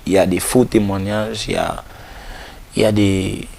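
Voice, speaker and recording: A man's voice: sits low, steady tone, normal speed, gravelly, a little mellow, slight background noise. A man, speaking as an interview guest with a cold, ending in an earnest, preachy appeal. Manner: earnest, exhorting, repeats phrases. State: has a cold. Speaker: gospel artist, interview guest. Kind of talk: casual interview talk, closing with a preachy appeal.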